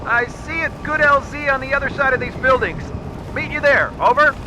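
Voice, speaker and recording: A man playing a confident soldier in a video game, talking in forceful, clipped military radio style. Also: very high-pitched, normal speed, noisy background. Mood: brisk confident. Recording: loud game music and gunfire behind.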